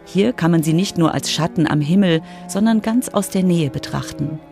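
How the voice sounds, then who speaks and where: A woman's voice: lowish voice, normal speed, creaky, dark tone. Woman narrating over music. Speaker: radio reporter and narrator. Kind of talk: scripted narration for a children's radio feature.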